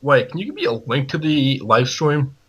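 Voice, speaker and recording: Husky, animated man chatting. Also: medium pitch, normal speed. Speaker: livestream co-commentator. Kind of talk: casual chat.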